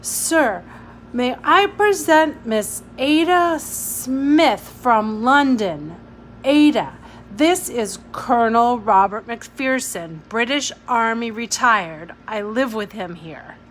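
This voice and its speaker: A woman's voice: on the high side, animated, slow pace, fairly bright tone, voice pushed up. Woman reading as a guarded, polite young boy, noisy recording. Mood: respectful.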